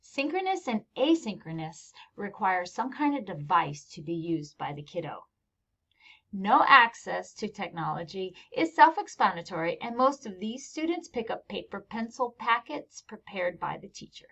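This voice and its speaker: A woman's voice: mid-range voice, very animated, normal speed, creaky, pushed and bright, breathy edge. A woman with an American accent presents scripted instructional narration as a teacher trainer, explaining things plainly with informal touches. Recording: dull lo-fi voice-over recording.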